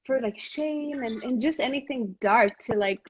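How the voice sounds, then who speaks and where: A woman's voice: a little high, measured pace, husky, rough recording. A woman from Montreal hosting a live conversation, talking earnestly with lots of fillers and restarts. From Montreal, Canada. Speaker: host of a live video chat. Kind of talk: casual heartfelt conversation. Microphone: live stream device mic.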